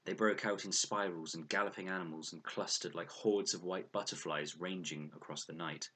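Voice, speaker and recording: Deep, husky-voiced male storyteller. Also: steady tone, moderate pace, pushed and bright. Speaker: storyteller reading aloud. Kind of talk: expressive children's audiobook narration.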